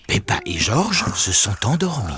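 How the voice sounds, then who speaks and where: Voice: mid-range voice, big pitch swings, a little slow, heavy background noise. A performer narrating a French preschool cartoon as a friendly storyteller, with simple, explanatory delivery. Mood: storytelling. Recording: loud cartoon music behind.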